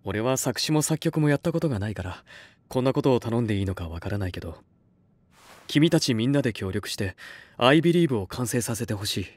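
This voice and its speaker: A male actor playing an earnest, soft-spoken young record label head in an anime voice drama, speaking in a measured way. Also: mid-range voice, lively intonation, no echo, lightly acted. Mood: earnest, reflective.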